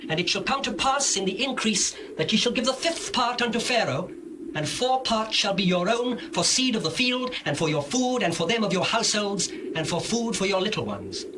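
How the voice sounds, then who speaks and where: An actor playing a dutiful, authoritative governor of Egypt and respectful son in a scripture drama, earnest and measured. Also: male voice, high-pitched, big pitch swings, background noise. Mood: commanding, benevolent.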